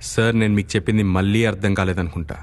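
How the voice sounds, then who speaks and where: An actor playing a defiant, righteous common man in a film, arguing in measured, sarcastic rhetorical questions over a score. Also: on the low side, steady tone, heavy background noise, natural voice. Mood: calm, sardonic. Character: defiant common man holding a leader hostage, sardonic, righteous. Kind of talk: Telugu film dialogue, argumentative monologue.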